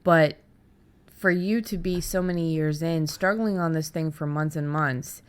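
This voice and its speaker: A woman's voice: deep voice, fairly even intonation, unhurried, smooth. A woman hosting a casual podcast conversation, explaining things informally and affirming what her guest says. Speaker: podcast host.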